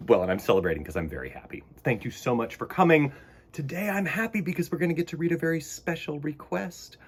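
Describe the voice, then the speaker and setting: A man hosts storytime for children with a theatrical delivery. His pitch swoops widely and his voice has a husky edge. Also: expressive, fast talker. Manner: theatrical, exclamatory. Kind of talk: expressive picture-book reading for children.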